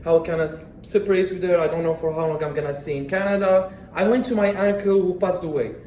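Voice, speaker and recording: A man's voice: sits high, fast, darkish tone, a little hiss or hum. A man, delivering a prepared speech at a club meeting in an earnest way and often restarting his words. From Morocco. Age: 30s. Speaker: club speaker. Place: meeting room. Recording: echoey room.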